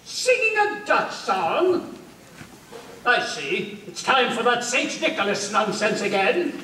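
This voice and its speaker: Guy doing disapproving puritan puppet, scolding, up and down pitch, echoey. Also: wildly swooping pitch, slow pace, bright, forceful tone, a little hiss or hum, heavily acted. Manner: stern, scolding. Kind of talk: puppet show acting. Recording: very echoey room, distant mic.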